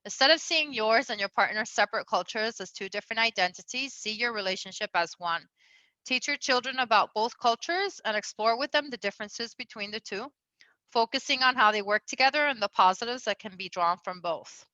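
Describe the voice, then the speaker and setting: Woman, raised in Venezuela, relationship coach, bright tone, rambling fillers, slightly muffled sound. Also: on the high side, animated, fairly quick, no room sound. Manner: chatty, lots of fillers, laughs often. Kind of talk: casual advice talk.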